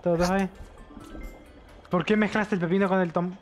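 A man's voice: high-pitched, steady tone, fairly quick. A young man with a Salvadoran accent bantering casually while gaming, bossy and teasing. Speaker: gamer. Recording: game music behind voice. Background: video game music.

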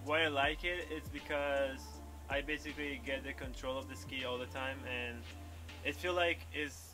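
A young man, a pro water skier, answering interview questions outdoors on a lakeside dock, halting and searching for words. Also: mid-range voice, steady tone, over music. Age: young adult. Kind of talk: casual interview.

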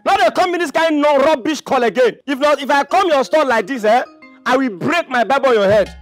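A man's voice: very high-pitched, lively intonation, brisk, faint music behind. A man with a Nigerian accent playing a furious, threatening churchgoer in a comedy skit.

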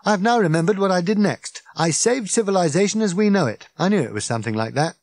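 A man voicing an excitable, fierce, rambling alien man who rants loudly and indignantly. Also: sits high, quick pace, no room sound, pitched above the performer's own voice. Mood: ranting. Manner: loud, indignant ranting.

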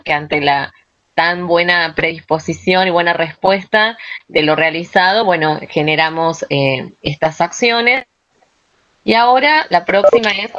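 Low-voiced Argentine woman, dull call audio. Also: fairly bright tone. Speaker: workshop presenter, script adapter. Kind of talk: slide presentation on a video call. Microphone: video call.

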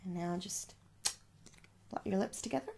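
A young woman speaking softly in an ASMR shop roleplay, coaxing the listener with little questions in a husky voice. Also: mid-range voice, normal speed, slight background noise. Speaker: ASMR performer playing a makeup shop seller. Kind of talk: soft-spoken ASMR roleplay. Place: small room at home.

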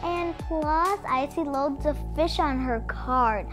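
A woman's voice: high-pitched, slow, music in the background. A young girl reading a picture book aloud and chatting about the pictures to her viewers. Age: child. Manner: reads text loudly, comments softly to viewers. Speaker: storybook reader.

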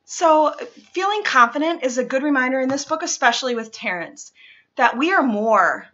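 Very animated, high-pitched woman reading a storybook. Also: highs cut off. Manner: expressive, deliberate, dramatic emphasis for children. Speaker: storytime reader. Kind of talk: animated children's picture-book read-aloud. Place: living room, indoors.

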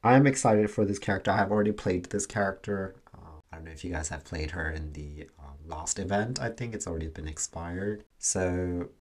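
A man's voice: sits low, brisk, few pauses, slight background noise. A young man giving casual reaction commentary as the host of a video.

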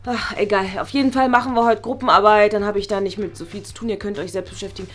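Eine Frau spielt eine genervte, abfällige Vertretungslehrerin in einem Schulsketch und redet fahrig in abgebrochenen Sätzen. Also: female voice, mid-range voice, steady tone, fast talker, faint music behind, close to an everyday voice. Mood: dismissive, brisk. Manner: rambling, breaks off sentences, exasperated. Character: irritable, dismissive female substitute school teacher, easily exasperated. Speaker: substitute teacher in a sketch series. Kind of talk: improvised school sketch acting.

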